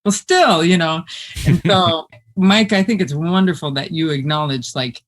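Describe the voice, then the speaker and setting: Deep-voiced woman telling animated stories. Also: unhurried. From United States. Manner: animated storyteller who laughs often. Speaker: guest. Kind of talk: casual conversation.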